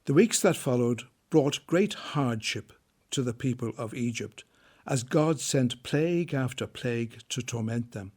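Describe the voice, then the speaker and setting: A man narrating a scripted biblical drama in a deliberate, solemn storytelling manner. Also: medium pitch, lively intonation, darkish tone, husky.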